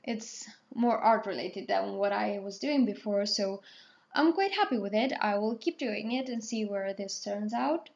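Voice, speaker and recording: A woman's voice: mid-range voice, lively intonation, unhurried, gravelly, darkish tone, highs cut off. A woman in her twenties, raised in Spain and not a native English speaker, rambling casually with many ums and self-corrections while she talks through her painting. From Portugal, raised in Spain. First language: Spanish or Portuguese. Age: 20s. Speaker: artist, channel host. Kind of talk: casual talkthrough over painting.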